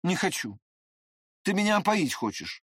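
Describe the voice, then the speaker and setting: A man's voice: high-pitched, big pitch swings, normal speed, fairly bright tone, slightly rough, no echo, studio quality, pitched above the performer's own voice, exaggerated voice. A man voicing a proud, touchy young man with a defiant, indignant manner in an audiobook. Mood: wary. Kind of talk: dramatized audiobook character voice.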